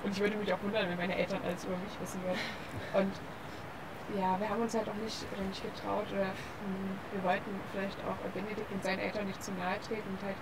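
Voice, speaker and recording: A woman's voice: mid-range voice, flat delivery, quick pace. A young woman asking questions in a casual interview, phrasing things carefully and hesitantly. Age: young adult. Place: outdoors on a park bench by a forest. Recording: noisy outdoor recording.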